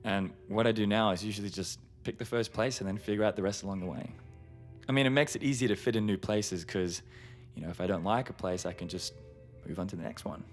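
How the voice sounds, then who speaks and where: A man's voice: on the low side, fast talker, soft background music, natural voice. A man playing a breezy, confident, carefree traveller in a naturalistic audio drama, speaking casually with easygoing bragging. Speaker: supporting actor in a fiction podcast.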